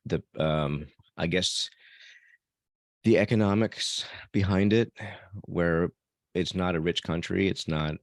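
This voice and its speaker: A man's voice: extremely low pitch, vocal fry, no room sound, clean studio sound. A man from El Salvador, a podcast host being interviewed, answering in a reflective way with some fillers. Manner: reflective, thinks aloud with fillers. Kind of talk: casual interview conversation.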